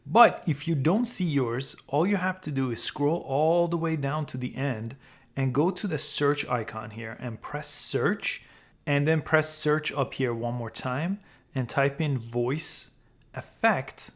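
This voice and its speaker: Guy doing a how-to app walkthrough, regular mid pitch voice, explains each step. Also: a little slow. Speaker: tutorial host. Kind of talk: how-to screen tutorial narration.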